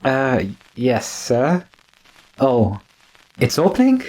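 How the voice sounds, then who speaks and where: An actor playing a deferential, uneasy but obedient manservant in an old-time radio drama. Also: male voice, mid-range voice, wildly swooping pitch, drawn-out words, a little mellow, exaggerated voice, poor audio. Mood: hesitant.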